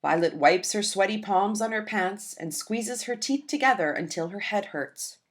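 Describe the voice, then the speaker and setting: A woman's voice: medium pitch, normal speed. Woman reading a picture book aloud. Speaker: children's book reader.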